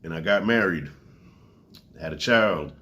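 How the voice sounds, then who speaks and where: A man in his forties with a stuffy, congested nose, casually reviewing a comedy special to camera, loose and profane with big swings in pitch. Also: measured pace, sharp tone, highs cut off.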